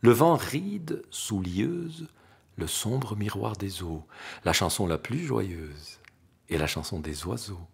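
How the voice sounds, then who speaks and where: A man reciting literary poetry in an expressive, theatrical, declamatory manner with dramatic pauses and a husky, gravelly voice. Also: lowish voice. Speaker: poetry reader.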